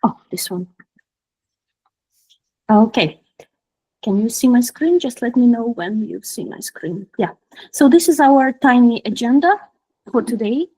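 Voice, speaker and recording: A woman with a fairly high voice and a Ukrainian accent presents her own story to an online group. She speaks informally, with hesitant pauses as she looks for words. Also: expressive, warm tone, slightly rough, poor audio. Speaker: guest speaker, product designer. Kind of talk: personal career talk to an online group. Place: online video call.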